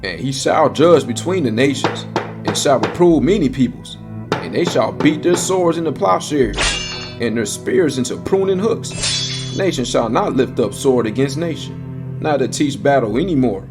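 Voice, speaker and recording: A man's voice: medium pitch, lively intonation, moderate pace. A man narrating a dramatized scripture reading in a solemn, declamatory manner. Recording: music bed behind the voice. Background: musical score.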